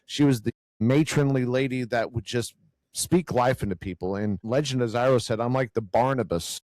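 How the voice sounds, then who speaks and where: A man's voice: mid-range voice, moderate pace, no room sound, rough recording. Earnest growly-voiced man, dry close sound. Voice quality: growly, loud. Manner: earnest, repeats words while searching. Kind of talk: casual faith conversation.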